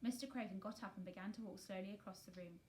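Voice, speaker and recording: A woman narrating a children's novel aloud in an expressive, scripted storytelling style. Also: mid-range voice, fast, a little hiss or hum. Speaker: storybook reader.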